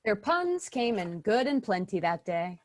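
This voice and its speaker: A woman's voice: medium pitch, sing-song, unhurried, slight background noise. A woman playing a wry, playful narrator telling a fairy tale in an amateur stage play.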